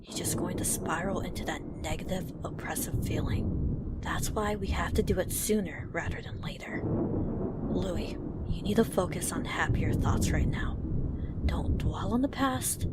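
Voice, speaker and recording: A woman playing a firm, blunt, level-headed team leader in an audio drama, speaking in a measured way over music. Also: on the low side, very noisy, close to an everyday voice. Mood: serious, cautioning. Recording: music bed behind the voice.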